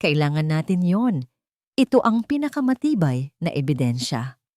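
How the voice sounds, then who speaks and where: A woman's voice: sits low, very animated, normal speed, darkish tone, no echo. A methodical male ally in an audio drama, read by a woman narrator, businesslike and urgent. The sound is dry and close.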